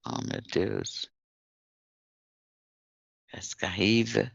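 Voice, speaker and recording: A man teaching a classroom language lesson, speaking slowly and patiently and repeating words for his students to drill. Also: fairly low pitch, big pitch swings, vocal fry, fairly bright tone, husky, dry recording, dull audio. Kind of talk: classroom instruction.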